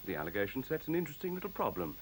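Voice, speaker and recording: A man's voice: medium pitch, very animated, very fast, close to an everyday voice. A man acting in a radio play as an urbane, mischievous intelligent computer, speaking formally and articulately in a teasing way. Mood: composed, assured. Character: witty, urbane male talking intelligent computer. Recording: faint hiss on radio play recording.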